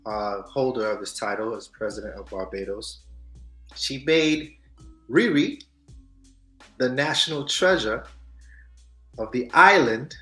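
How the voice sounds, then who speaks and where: A male co-host chatting casually about the week's news in a mid-pitched voice, getting loud and hyped one moment and dropping to soft asides the next. The room sounds echoey, with faint music playing underneath. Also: unhurried, pauses often. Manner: animated, swings between loud hype and soft asides. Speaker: podcast co-host. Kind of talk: casual chat on news and culture.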